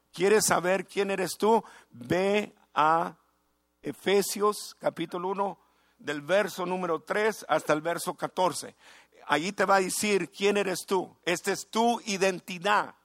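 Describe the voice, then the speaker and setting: A middle-aged male pastor preaching a fervent sermon in Spanish, breaking into shouts of praise. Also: high-pitched, dry recording, studio quality.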